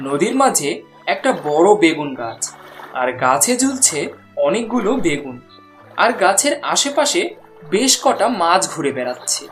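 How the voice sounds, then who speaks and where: A woman's voice: fairly low pitch, very animated, moderate pace. A woman reading scripted fairy-tale narration as a storyteller. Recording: background music under the voice.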